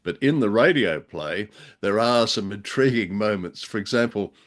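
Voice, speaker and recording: A man's voice: fairly low pitch, moderate pace. A man co-hosting a podcast in casual conversation, with a curious, chatty, questioning manner. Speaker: podcast co-host.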